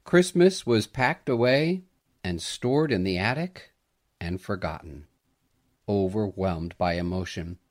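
A man narrating a scripted family story in a gentle, dramatic, sentimental manner. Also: lowish voice.

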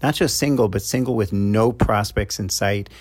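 A middle-aged man with a New York accent, speaking as a love coach to his podcast audience. His voice sits on the low side, and he talks in a loose, conversational way with frequent fillers and restarts. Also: moderate pace, a little mellow. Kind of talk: advice monologue to a podcast audience.

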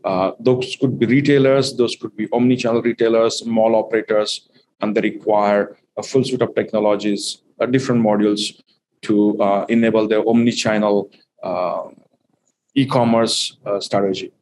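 A man's voice: fairly low pitch, fairly even intonation, normal speed, background noise. A man who runs a company, answering interview questions and explaining plans step by step with many fillers. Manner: fluent but filler-heavy, explains step by step. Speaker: company executive guest. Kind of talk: business interview.

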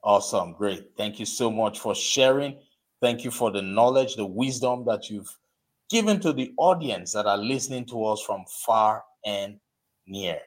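A man hosting a live podcast interview, urging his listeners in an emphatic, exhorting way and spelling out names slowly. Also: on the low side. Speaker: podcast host. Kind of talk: motivational interview show.

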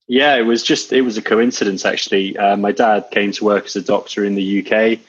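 Guest, male, thirties, from Wales, low husky voice, dull sound, relaxed and modest. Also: brisk, dry recording. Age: 30s. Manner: easygoing, laid-back, self-deprecating. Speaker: guest, coach and entrepreneur. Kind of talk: casual interview chat.

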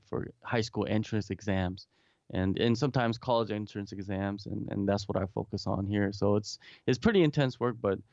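A man's voice: on the low side, moderate pace, vocal fry, a little mellow, no echo, highs cut off. A man talking from home in a casual remote interview, rambling with many restarts and fillers. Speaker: guest, MMA interviewer and English teacher.

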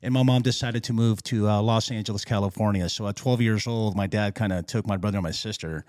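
A man's voice: lowish voice, fairly even intonation, quick pace, darkish tone, dry recording, clean studio sound. A man in his fifties, born in Peru and a native Spanish speaker, telling his life story as a podcast guest in a chatty, self-deprecating way. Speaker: podcast guest, retired police sergeant. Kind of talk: casual interview storytelling.

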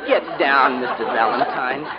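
A woman's voice: very deep voice, measured pace, crowd noise. A woman acting an eager, cheerful boy who gives earnest encouragement in an old American radio comedy. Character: eager, cheerful boy teaching horse riding. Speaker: actor in a radio comedy-mystery. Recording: muffled, noisy vintage radio recording.

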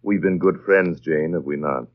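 An actor in a 1930s radio drama playing a brooding, commanding master of a manor, theatrical and musing, teasing yet tender. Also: male voice, very deep voice, moderate pace. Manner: theatrical, musing, clipped asides. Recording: dull lo-fi 1930s radio recording.

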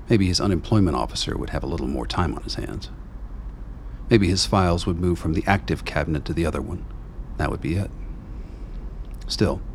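Very deep, gravelly male narrator. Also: a little mellow, slight background noise. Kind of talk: scripted dramatic narration for a radio play.

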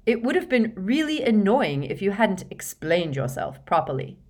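A woman's voice: mid-range voice, very animated, takes it slowly, voice pushed up. Woman playing a blunt, sarcastic teenage schoolboy.

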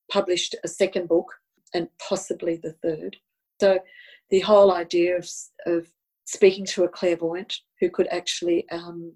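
A woman's voice: on the low side, dark tone, poor audio. A woman, whose was Italian, telling a personal story in an earnest, reflective way, often repeating words as she goes. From Australia. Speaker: guest recounting personal story. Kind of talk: casual storytelling.

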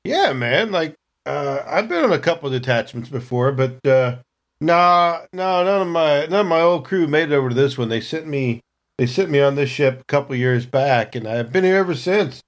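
A man's voice: on the high side, lively intonation, moderate pace. A man playing a laid-back, grumbling space Marine in a sci-fi tabletop roleplay, casual and slangy. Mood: laid-back, teasing. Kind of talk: improvised in-character tabletop roleplay.

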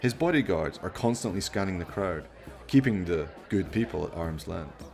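Lively male radio play narrator. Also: deep voice, moderate pace, vocal fry, faint music behind.

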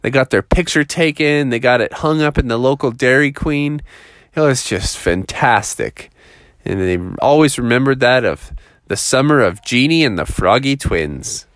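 A man's voice: mid-range voice, animated, normal speed, a bit bright, dry recording. A man telling an improvised children's story in a casual, colloquial way.

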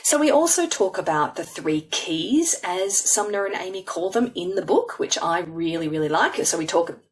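A woman's voice: lowish voice, animated, normal speed, far from the mic. A woman hosting a podcast, introducing her guest in a casual, rambling way with many fillers and restarts. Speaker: podcast host.

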